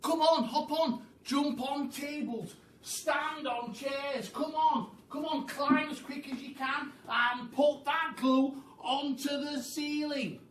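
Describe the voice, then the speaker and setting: In a roomy, distant recording, a bossy monkey father from a children's story as a man reads him aloud drives everyone on. He is impatient and frantic, barking excited commands. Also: extremely high pitch, takes it slowly, hardly any pauses, voice pushed up, exaggerated voice. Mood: impatient, urging. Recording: roomy, distant mic with faint noise.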